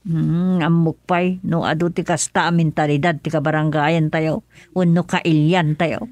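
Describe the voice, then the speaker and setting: An older mother from the village, with an Ilocano accent and a slightly low voice. She talks sensibly and with concern, in the conversational manner of radio drama. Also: fairly even intonation, unhurried, a little mellow, dry recording.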